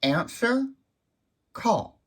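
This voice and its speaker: A mid-pitched voice of a product demonstrator talking through a device without a script. The delivery is explanatory and thorough, moving from one detail to the next. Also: very animated, drawn-out words, slight background noise. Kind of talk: unscripted tech demonstration.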